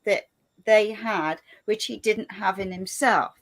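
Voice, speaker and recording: A woman host in a casual conversation, telling a client anecdote in a lively, storytelling manner. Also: medium pitch, slow pace.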